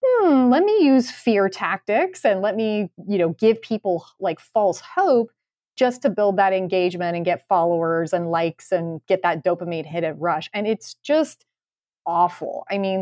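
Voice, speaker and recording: A woman's voice: fairly low pitch, a little mellow, no room sound, studio quality. A woman co-hosting a podcast, giving her opinion in a casual, candid, emphatic way. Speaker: podcast co-host.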